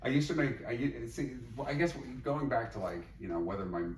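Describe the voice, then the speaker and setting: A man's voice: medium pitch, lively intonation, a little fast. A middle-aged man speaking as a psychologist and teacher in a reflective interview, thoughtful and rambling with many fillers and restarts. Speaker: psychologist and teacher, podcast guest. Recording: echoey room, mic at a distance, faint noise.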